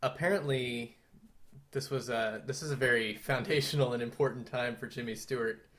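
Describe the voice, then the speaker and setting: A man with a mid-range voice co-hosts a conversational film history podcast. He explains things in a measured, thoughtful manner, talking through details as he goes. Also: medium pitch, fairly bright tone. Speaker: podcast co-host.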